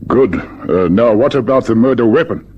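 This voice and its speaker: An actor playing a brisk, commanding senior police officer in a vintage radio drama. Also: male voice, medium pitch, expressive, darkish tone, breathy edge, highs cut off. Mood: brisk, businesslike.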